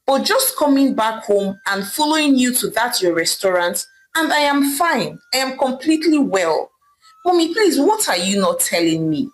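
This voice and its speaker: Woman acting a distraught, high-pitched young daughter. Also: sing-song, normal speed, low-quality recording. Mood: perplexed, pleading. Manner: pleading, exclamatory, repeating herself.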